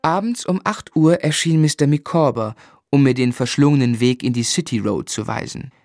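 An actor narrating as a grown man looking back on a lonely boyhood, in measured literary German radio drama style. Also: mid-range voice, darkish tone, no echo, clean studio sound, close to an everyday voice. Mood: reflective. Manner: reflective, measured storytelling.

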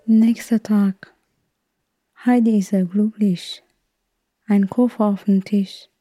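Storyteller: woman, unhurried and fairly even delivery, brief simple sentences. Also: mid-range voice, dry recording. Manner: short, simple phrases. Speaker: storyteller retelling a film. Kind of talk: slow, simple present-tense retelling for a radio feature. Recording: music behind the voice.

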